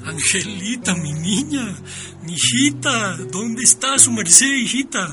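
A loving Colombian father in his fifties, in a radio drama. His voice is extremely high and plaintive, tender and a little bewildered, with music behind it. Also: lively intonation, takes it slowly. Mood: tearful. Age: 50s. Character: loving, tearful fifty-year-old Colombian father, bewildered.

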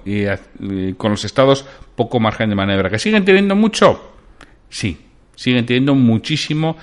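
A man hosting a solo podcast, giving opinionated commentary on the news and putting questions straight to his listeners. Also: on the low side. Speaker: podcast host.

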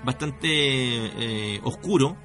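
A man's voice: medium pitch, drawn-out words, pushed and bright, faint music behind. A man with a Chilean accent hosts a conversational radio interview, hesitating often and tagging phrases with checking questions. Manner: hesitant, often adds "cierto" tags. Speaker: radio host.

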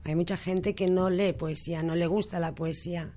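A woman, a poet guest on a radio show, speaking in a slow, emphatic, conversational way. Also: on the low side, steady tone, dark tone. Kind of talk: casual radio interview.

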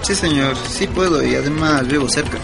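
A man plays a laid-back young night student in a radio serial. He speaks slangy Ecuadorian Spanish in a slightly high, grateful voice. Music runs clearly behind him. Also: brisk. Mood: easygoing, grateful. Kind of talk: naturalistic radio drama dialogue.

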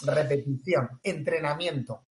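A man's voice: fairly high pitch, measured pace, roomy sound. A man from Catalonia with a Murcian-tinged Spanish accent, a sales coach talking as a guest in an interview, emphatic and fond of repeating phrases. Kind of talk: podcast interview.

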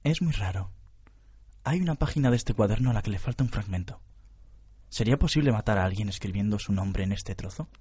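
Man as a low-voiced, probing deadpan detective. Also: a little fast, slightly rough. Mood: curious, probing. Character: odd, probing, suspicious young male detective. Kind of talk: radio drama adaptation of anime.

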